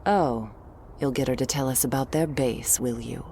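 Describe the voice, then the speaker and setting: A woman's voice: extremely low pitch, moderate pace, vocal fry, dark tone, slight background noise. A woman playing a stern, irritable vampire guard in a scripted audio drama, curt and scolding, giving orders. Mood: exasperated, firm.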